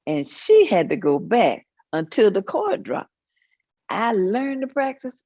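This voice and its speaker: Folksy Southern grandmother played by a woman, low voice, reflective storyteller in a staged reading, dry and slightly dull recording. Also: mellow, dry recording. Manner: reminiscing, folksy, punctuated with thoughtful hums. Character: elderly Southern grandmother recalling her midwife mother. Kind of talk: staged reading of oral history monologue.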